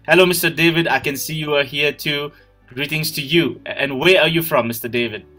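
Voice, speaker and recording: A man's voice: fairly high pitch, a little fast, pushed and bright, soft background music. A man hosting an online business webinar over a video call, greeting attendees by name in a friendly way. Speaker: webinar host.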